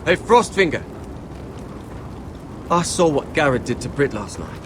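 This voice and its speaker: An actor playing a lowly young watchman in a game drama, insistent and earnest as he speaks up. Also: sing-song, unhurried, noisy background.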